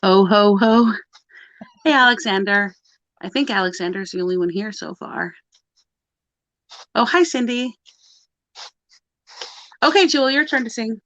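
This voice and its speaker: A woman's voice: a little high, animated, measured pace, pauses often, a bit bright, no room sound. A woman co-hosting a pagan livestream, chatting casually and laughing often as she rambles through the topic. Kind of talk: casual group chat on a live video call. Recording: dull dry video-call audio.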